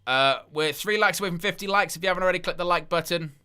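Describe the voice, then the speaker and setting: A man's voice: high-pitched, quick pace, bright, forceful tone, clear, resonant tone. A man hosting a live wrestling watchalong, rambling through incredulous asides and reading chat comments aloud between plugs for likes. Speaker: wrestling livestream host.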